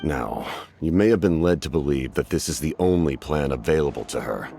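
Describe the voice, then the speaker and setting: A grave military captain, very low in pitch, commanding yet courteous. The game character speaks in solemn, measured, formal sentences over prominent music. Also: male voice, animated, creaky, warm tone, full character voice. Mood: grave, ominous. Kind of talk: English game voice acting.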